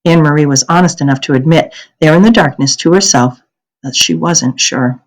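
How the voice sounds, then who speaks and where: A woman reading a children's novel aloud as an expressive narrator. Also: low-pitched, fairly quick.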